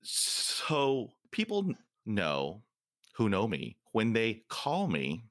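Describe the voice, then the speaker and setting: A man's voice: on the low side, huge pitch swings, slow pace, fairly bright tone, dry recording, studio quality. A man who stutters, hosting a podcast interview and telling stories animatedly with laughter. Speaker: podcast host.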